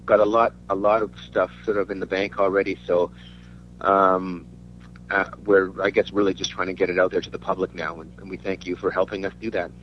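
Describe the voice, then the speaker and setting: Male musician from Denmark, low voice, halting interview chat, muffled recording with faint hum. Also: level tone. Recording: dull lo-fi audio with faint hum.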